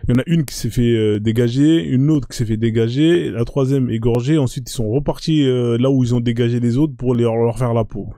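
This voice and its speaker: Dry, mellow-voiced man hosting a live stream. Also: medium pitch, fairly even intonation, a little fast, hardly any pauses, no echo. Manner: peppers speech with "hein" and "euh". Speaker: live stream host reading documents. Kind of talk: casual live stream chat and reading aloud.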